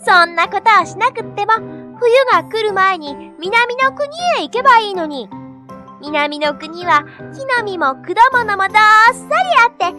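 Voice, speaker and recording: Little storybook bird: extremely high, lively swooping delivery, breezy and teasing, over children's music. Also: female voice, normal speed. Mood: amused, teasing. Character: cheerful, careless little bird. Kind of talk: children's animated storybook dialogue. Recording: children's jingle music behind.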